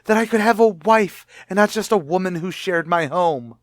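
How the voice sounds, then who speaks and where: A man's voice: high-pitched, normal speed, no echo, natural voice. Man acting an earnest, self-justifying husband with secrets. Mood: desperate, pleading. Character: cornered, pleading husband hiding a false identity. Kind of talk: old-time radio drama acting.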